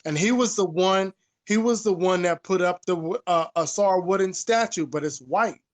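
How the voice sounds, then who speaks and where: High-pitched man in casual, emphatic discussion. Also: normal speed. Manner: repeats phrases, emphatic, informal with swearing.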